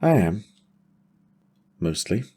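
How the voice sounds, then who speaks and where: A man acts out a battle-worn dragon rider in a cinematic fantasy audio drama. He is a weary but hopeful father with a soft, mellow tone, reflective and quietly wry, in no hurry as he talks. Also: low voice, sing-song, takes it slowly, breathy edge, heavily acted. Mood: quiet, content.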